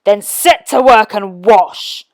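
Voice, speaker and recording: A woman voicing a wicked male wizard in a children's storybook, with a booming, taunting, gloating delivery. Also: slow pace, dry recording.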